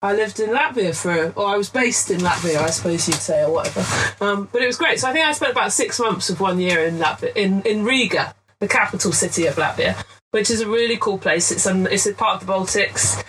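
Guest spot: chatty woman, rambling anecdotes, easy laughs, mid-pitched everyday voice. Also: animated, a little fast, a bit bright, slight background noise, rough recording. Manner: chatty, rambling storyteller who laughs often. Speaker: guest artist. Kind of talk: casual podcast chat.

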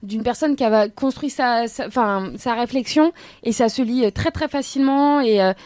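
A woman in a relaxed podcast chat, her voice a bit higher than most. She hesitates often and searches for her words. The sound is dry and close-miked. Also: moderate pace, dry recording. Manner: hesitant, many fillers. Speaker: podcast co-host. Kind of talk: casual chat.

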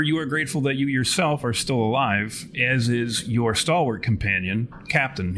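A man's voice: mid-range voice, fairly bright tone. A man narrating a tabletop game as game master in a florid, theatrical way. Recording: noticeable background noise.

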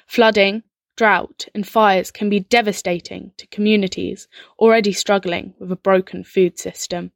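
A woman giving measured, earnest scripted narration for a documentary. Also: medium pitch, a bit bright, no room sound, clean studio sound.

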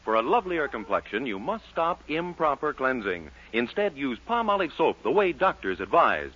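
Bright, emphatic old-time radio announcer man. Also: medium pitch, very animated, moderate pace, slightly rough. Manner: emphatic, polished salesmanship. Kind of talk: 1940s radio announcing and ad reading, scripted. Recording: dull 1940s radio broadcast recording.

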